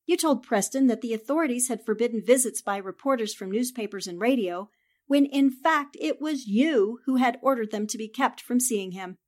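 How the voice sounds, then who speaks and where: A woman's voice: fairly high pitch, normal speed, a little mellow, close to an everyday voice. A woman playing a shrewd, confident newspaper reporter with poised, theatrical delivery in a 1940s-style radio drama. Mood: cool accusation. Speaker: voice actor.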